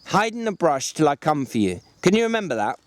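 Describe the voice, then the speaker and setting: Man acting a bossy, folksy ranch hand, pitched up. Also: big pitch swings, fairly quick, dry recording. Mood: firm. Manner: folksy, instructing, colloquial. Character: George, sharp bossy protective migrant ranch hand. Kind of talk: dramatic storybook reading.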